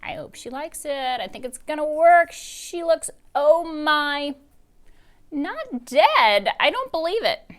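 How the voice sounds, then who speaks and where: A woman voicing an excitable, overdramatic little girl in a storybook read-aloud. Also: high voice, takes it slowly, pitched above the performer's own voice, full character voice. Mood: excited.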